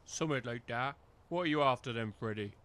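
Man playing a grumbling, bright-voiced village workman. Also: mid-range voice, fairly quick, smooth, lightly acted. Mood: wary. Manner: grumbling, rustic dialect. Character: weary, wary, grudgingly kind village working man. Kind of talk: comedy audio drama.

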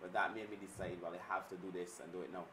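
A man in his thirties from Barbados, a music executive answering interview questions in a measured, reflective way. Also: sits low, level tone, recorded from a distance, noisy background. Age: 30s. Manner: measured, reflective storytelling with restarts. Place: indoor studio set with glass table and armchairs.

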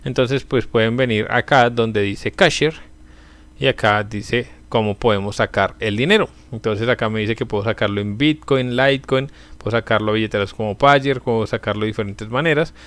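A man's voice: fairly low pitch, normal speed, fairly bright tone, clear, resonant tone. A young man, a channel host, casually walking viewers through a website in a screen-recorded tutorial, leaning on fillers as he explains.

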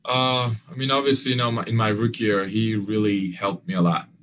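A young man answers reporters over a video call, his voice sitting fairly low. He talks earnestly but haltingly, stacking fillers and restarting phrases, and his grammar slips here and there. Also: flat delivery, a bit bright, slight background noise. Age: young adult. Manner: earnest, non-standard grammar. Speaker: basketball player. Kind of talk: postgame press conference answers.

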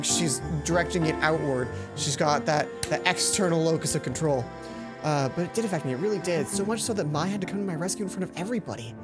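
A young adult man reading visual novel narration as a let's play host, with casual commentary. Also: on the high side. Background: game music.

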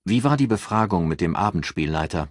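A man voicing a brisk, dryly polite male chief inspector in a crime audiobook. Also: low-pitched, lively intonation, no room sound, studio quality. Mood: good-humoured. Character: businesslike, wry male police chief inspector.

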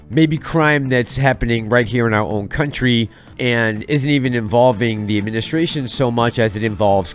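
A man in his thirties hosting a stock-market commentary vlog, heated and sarcastic, speaking on a headset mic in a small home studio. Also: lowish voice. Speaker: channel host. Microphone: headset with boom mic.

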